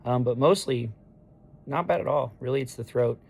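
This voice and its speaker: A man in his thirties with a hoarse voice the day after neck surgery, talking through a casual video diary in a halting way, full of ums and restarts. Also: mid-range voice, a little mellow. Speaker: patient sharing a recovery diary. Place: hospital room on discharge day.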